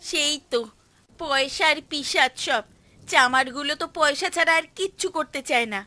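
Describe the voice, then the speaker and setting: A woman voicing sharp-tongued village women in a Bengali cartoon, with a Bengali accent. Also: sits high, normal speed, dry recording. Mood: concerned. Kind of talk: cartoon voice acting. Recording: music behind the voice.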